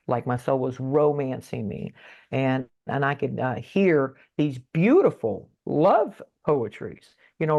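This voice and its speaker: A woman speaking as a spiritual guest in a casual interview, halting and repeating her words with many fillers over a video call. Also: rumbling low, gravelly, mellow, lo-fi sound.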